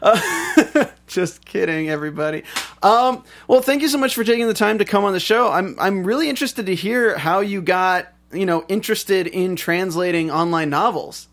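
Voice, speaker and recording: A man's voice: high-pitched, normal speed, fairly bright tone. A man hosting a podcast interview, talking casually with many fillers and restarts. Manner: chatty, with frequent fillers and restarts. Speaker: podcast host.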